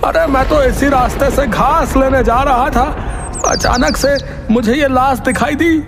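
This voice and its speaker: A man's voice: very high-pitched, fairly even intonation, measured pace. A man voicing a frightened old village man who calls out in panic in a cartoon. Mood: shaken. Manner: panicked calling for help. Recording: music and crowd behind.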